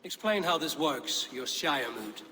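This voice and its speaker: An actor playing a stern warrior investigator in a video game, speaking tersely and matter-of-factly. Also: male voice, fairly high pitch, expressive, measured pace, pushed and bright, slight background noise. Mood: blunt, stern. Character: stern, blunt male warrior investigator, adult. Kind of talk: dramatic video game acting. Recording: long hall-like reverb.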